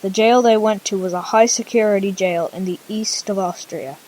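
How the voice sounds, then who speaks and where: A woman's voice: medium pitch, normal speed, darkish tone, low-quality recording. A female storyteller narrating an amateur radio drama informally, with casual conversational asides.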